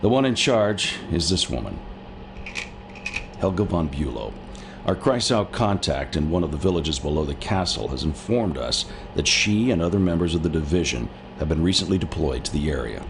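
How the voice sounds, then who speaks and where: Military intelligence officer, very low voice, blunt, deferential, game briefing, noisy background. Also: male voice, animated, normal speed, creaky, exaggerated voice. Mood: businesslike. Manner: businesslike, deferential, plain-spoken. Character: blunt American wartime intelligence officer briefing his superior.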